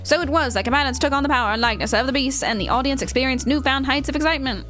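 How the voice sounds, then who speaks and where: Woman reading as a high-voiced, courteous tournament promoter. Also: very fast, fairly bright tone, faint music behind. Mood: earnest, formal. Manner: formal, courteous, showy. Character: polite, theatrical tournament promoter and arena commentator. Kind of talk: reading game dialogue aloud.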